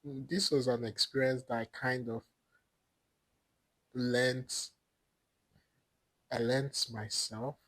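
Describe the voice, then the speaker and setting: A man's voice: medium pitch, fairly even intonation, slow, long pauses, a bit bright, poor audio. A young Nigerian man with an Igbo accent, a podcast guest telling stories in rambling casual chat and laughing often.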